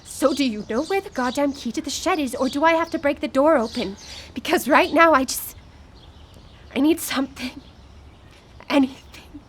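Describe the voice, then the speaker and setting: A woman's voice: high voice, moderate pace, pauses often, fairly bright tone. A woman acting a grieving, short-tempered widow in a live radio drama, speaking with emotional urgency. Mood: hurt, exasperated. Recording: live radio broadcast with background noise.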